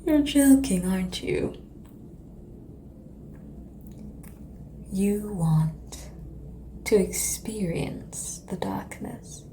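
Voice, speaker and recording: A woman's voice: mid-range voice, expressive, dark tone, rough recording. Whispering, seductive ancient vampire played by a woman. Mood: amused, intrigued. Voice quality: breathy, whispery. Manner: slow, teasing, formal old-fashioned phrasing. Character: seductive, ancient female vampire, amused and quietly menacing. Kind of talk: ASMR audio roleplay.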